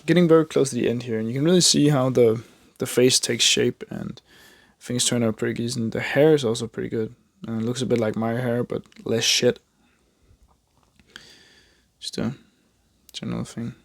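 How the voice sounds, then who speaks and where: Danish accent young guy, gravelly, chatty and self-mocking, medium pitch. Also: fairly even intonation, normal speed, long pauses, no echo. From Denmark. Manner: rambling, chatty, self-mocking, many fillers and restarts. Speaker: art vlogger. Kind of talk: casual chat while drawing.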